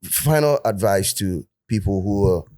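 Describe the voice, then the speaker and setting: A man with a very deep voice hosts an interview in a relaxed, affirming way. His voice is recorded close and dry. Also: a little slow, dry recording. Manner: relaxed, affirming listener with frequent backchannels. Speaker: interview host. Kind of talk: casual interview chat.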